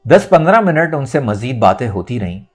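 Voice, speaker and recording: Expressive male audiobook narrator, lively pitch. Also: normal speed, breathy edge, soft background music. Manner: expressive storytelling. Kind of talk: dramatic scripted novel narration.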